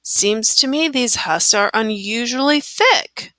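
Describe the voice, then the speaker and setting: A shrewd crow from a children's tale , acted out by a woman reading aloud, mulls things over slowly and doubtfully. The pitch is fairly high and lively, as if every thought is being weighed. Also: no echo, heavily acted. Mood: puzzled musing. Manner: deliberate muttering, musing. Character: shrewd, skeptical crow, musing to himself, storybook animal.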